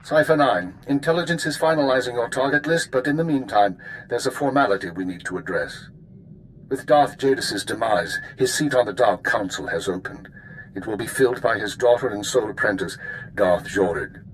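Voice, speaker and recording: An actor playing a stern Imperial intelligence superior in a video game, giving a formal, authoritative briefing. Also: male voice, mid-range voice, normal speed, a little hiss or hum, lightly acted, low-quality recording. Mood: stern, official. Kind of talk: scripted game dialogue.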